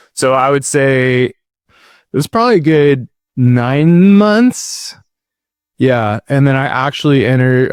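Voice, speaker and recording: A man's voice: medium pitch, dry recording, studio quality. A man in his thirties, a podcast guest, telling his life story in a loose, rambling way with lots of "like" and "dude". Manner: laid-back, rambling, says dude and like a lot. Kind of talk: casual storytelling interview.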